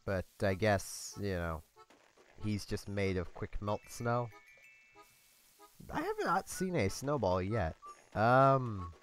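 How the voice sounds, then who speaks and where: A man's voice: lowish voice, expressive, slow, pauses often, faint music behind. A congested man hosting a let's play from home, rambling through casual commentary with lots of ums and small laughs.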